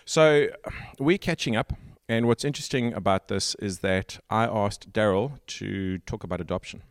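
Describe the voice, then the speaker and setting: Man, South African accent, podcast host, very low voice, dry close sound, unhurried explaining. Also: no room sound. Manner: explains at length with pauses and fillers. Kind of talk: casual tech podcast chat.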